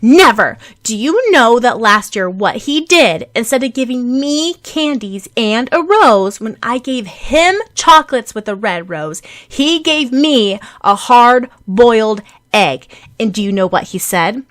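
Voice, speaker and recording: A woman voicing a feisty, indignant schoolgirl who shouts her grievances in an audiobook. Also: fairly high pitch, animated, measured pace, voice pushed up.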